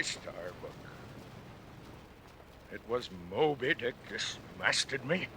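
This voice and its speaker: Gravelly, obsessed old sea captain. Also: male voice, mid-range voice, sharp tone, heavily acted. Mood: bitter, brooding. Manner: grandiose, archaic oratory. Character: obsessed, vengeful old whaling ship captain. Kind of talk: classic radio drama acting. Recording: faint noise, old radio play recording.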